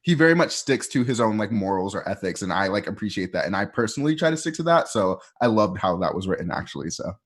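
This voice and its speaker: A man's voice: on the low side, very animated, fast talker, hardly any pauses. A man speaking as an interview guest, casual, earnest and informal. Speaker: voice actor, guest.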